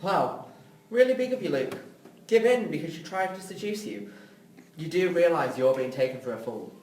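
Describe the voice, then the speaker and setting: Exasperated writer brother male actor, complaining and insistent, lively intonation, roomy off-mic sound. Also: on the high side, normal speed, close to an everyday voice. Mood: bitter, accusing. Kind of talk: script reading of a drama. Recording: echoey room, distant, faint noise.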